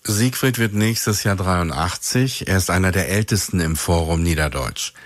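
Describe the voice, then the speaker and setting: A man hosting a radio show, narrating clearly and evenly from a script. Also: sits low, normal speed, no room sound, clean studio sound. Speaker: radio host.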